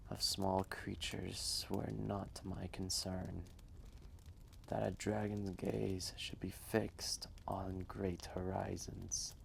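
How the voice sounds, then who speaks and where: A man voicing an ancient, reflective dragon who tells a fireside story slowly and solemnly, with deliberate pauses and a low, gravelly voice. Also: exaggerated voice. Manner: slow, deliberate, pausing, solemn reminiscing. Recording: faint background noise, fireplace ambience.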